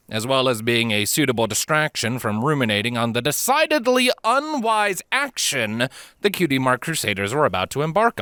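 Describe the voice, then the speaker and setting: A man reading scripted fiction as an audiobook narrator, expressive and measured in a dramatic storytelling style. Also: medium pitch, smooth, no room sound, studio quality.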